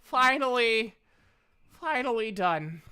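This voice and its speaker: Someone voicing a weary, higher-pitched half-elf stockkeeper. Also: lively intonation, slow, pushed and bright, clean tone. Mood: weary, welcoming. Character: very tired, sleepless half-elf man minding a loot stock.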